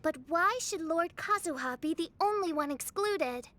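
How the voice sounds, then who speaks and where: Grandiose teenage princess for a game: high, declamatory, regal and pompous. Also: female voice, expressive, moderate pace, bright, forceful tone, a little hiss or hum, heavily acted. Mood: lofty, reassuring. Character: grandiose, theatrical teenage girl playing at princess. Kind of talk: scripted video game dialogue.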